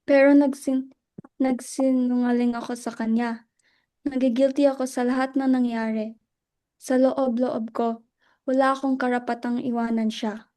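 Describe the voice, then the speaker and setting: Grieving widow played by a woman, dramatic reading: halting, anguished, narrow melody, soft dark tone. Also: sits high. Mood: guilty, grieving. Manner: halting, repeats phrases in anguish. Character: guilt-ridden grieving widow, remorseful, childless wife Luella. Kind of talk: dramatic stage reading in Tagalog.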